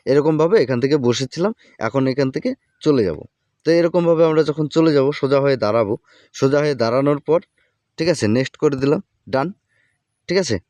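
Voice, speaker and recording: A man's voice: on the high side, fast talker, pauses often, darkish tone, no room sound. A man hosting a casual, step-by-step app tutorial, often checking in as he instructs. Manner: repeatedly checks in while instructing. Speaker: tutorial host.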